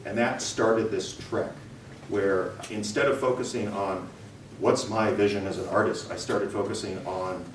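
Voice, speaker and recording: A man's voice: on the low side, steady tone, measured pace. Man, middle-aged, informal guest speaker, emphatic and conversational, far from the mic in a roomy space. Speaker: guest speaker, radio theater company owner. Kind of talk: informal talk to students. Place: room, talk to an audience of students. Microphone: distant from the speaker. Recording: roomy, distant mic with background noise.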